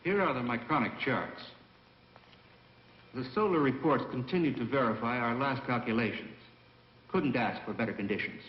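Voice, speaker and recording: An actor playing a composed, authoritative space commander in a dubbed vintage science fiction film. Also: male voice, mid-range voice, animated, moderate pace, lightly acted. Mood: brisk, composed. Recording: muffled, noisy, echoey old film soundtrack.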